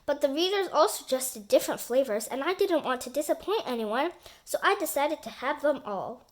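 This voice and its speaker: A woman voicing an excitable, scatterbrained young boy in a children's storybook, eager and agreeable as he exclaims. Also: sits high, moderate pace. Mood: cheerful.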